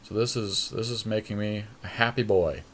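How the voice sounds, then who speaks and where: A man's voice: on the low side, fairly even intonation, normal speed. A man co-hosting a podcast, thinking aloud in casual, slangy commentary as he plays a card game draft. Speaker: podcast co-host.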